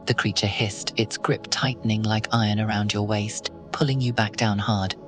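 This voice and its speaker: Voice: mid-range voice, steady tone, moderate pace, over music. Ominous second-person horror narrator.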